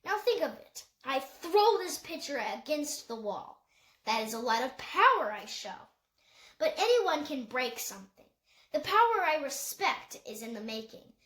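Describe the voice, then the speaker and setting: A woman reading as a calm, wise high-voiced woman. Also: reverberant, heavily acted. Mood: firm. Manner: measured, thoughtful, neutral. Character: wise, calm, principled older woman, firm yet gracious.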